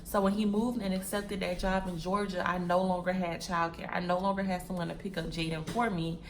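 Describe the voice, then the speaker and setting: A woman's voice: lowish voice, little pitch movement, fairly quick, a little hiss or hum. A woman in her twenties chatting casually to her viewers, repeating phrases and calling them "y'all" and "sis" while she packs wigs. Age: 20s. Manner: packing wigs while talking. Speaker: vlogger.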